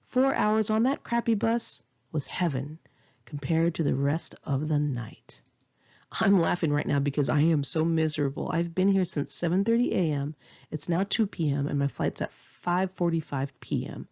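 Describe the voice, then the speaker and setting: Woman, bright husky voice, very expressive, sarcastic indignant storyteller, memoir audiobook reading, slightly muffled home recording. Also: on the low side. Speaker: author reading own memoir aloud. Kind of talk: expressive audiobook narration of a first-person memoir.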